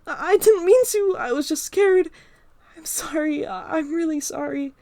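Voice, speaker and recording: A woman's voice: extremely high pitch, moderate pace, mellow, dry recording, studio quality, voice pushed up. A woman reading a story, voicing a frightened teenage girl who stammers out apologies while crying. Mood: panicked, pleading. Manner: stammering, pleading.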